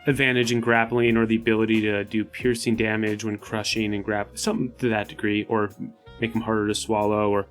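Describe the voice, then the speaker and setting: A man's voice: fairly low pitch, completely flat delivery, fairly quick, soft background music. A man chatting casually and thinking aloud as a player in a tabletop role-playing game. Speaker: player on a tabletop RPG podcast. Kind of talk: casual improvised game chat.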